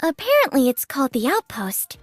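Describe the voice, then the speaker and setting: A woman's voice: high voice, wildly swooping pitch, a little fast, no room sound, faint music behind. A woman voicing a cheerful, eager young android girl in an anime game, perky, naive and earnest. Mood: excited, chirpy.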